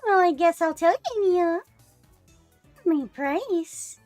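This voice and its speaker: A woman's voice: very high-pitched, slow pace, a little mellow, soft background music. A woman voicing a cutesy, playful cat-ear maid girl from a visual novel. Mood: coy.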